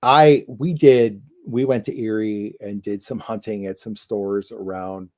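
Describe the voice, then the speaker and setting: A man's voice: fairly low pitch, steady tone, measured pace, a little mellow. A man co-hosting a casual livestream chat. He talks in a chatty, jokey way, often trailing off and restarting. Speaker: co-host.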